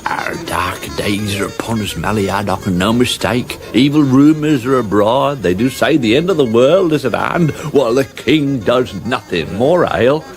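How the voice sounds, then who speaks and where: An actor playing a gloomy, slightly dim tavern drinker with a British accent, hesitant and matter-of-fact in a radio comedy. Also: male voice, medium pitch, lively intonation, normal speed, few pauses, soft background music, close to an everyday voice. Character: gloomy, slow-witted, agreeable male tavern drinker.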